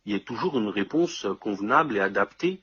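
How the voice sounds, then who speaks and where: Man, heavily rough and husky voice, explanatory conversational delivery, muffled low-quality recording. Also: lively intonation, fast, a bit bright. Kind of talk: casual two-person discussion. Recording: dull lo-fi audio.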